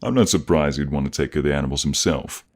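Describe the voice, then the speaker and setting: A man playing a proud, boastful Norse thunder god with a gruff, husky voice in a fantasy audio roleplay. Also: rumbling low, fast talker. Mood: hearty. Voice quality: gravelly, husky. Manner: boastful, pompous, easily provoked.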